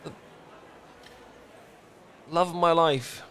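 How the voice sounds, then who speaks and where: A man's voice: on the high side, fairly even intonation, drawn-out words, fairly bright tone, slight background noise. A man with a British accent playing a flustered, lovestruck best friend in a romantic comedy audio roleplay, stammering and earnest. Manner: stammering, rambling, earnest confession.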